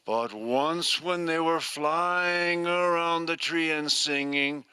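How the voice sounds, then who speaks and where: An older man telling a story live to an audience, slowly and expressively in drawn-out phrases. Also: fairly high pitch, sharp tone, clear, resonant tone, no echo.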